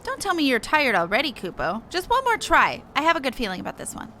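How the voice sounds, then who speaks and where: Woman voicing a pompous, bright little moogle hero. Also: high voice, huge pitch swings, fast talker, slight background noise, full character voice. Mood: insistent. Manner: pompous, florid heroic speech. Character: boastful little male moogle adventurer, gallant and eager helper. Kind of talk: reading game dialogue in character.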